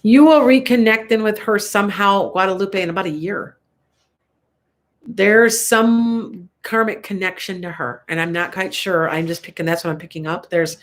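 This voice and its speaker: An older woman with a Chicago accent, congested and coughing a little, chatting and rambling through a live tarot reading for viewers with frequent laughs. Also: mid-range voice. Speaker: tarot reader.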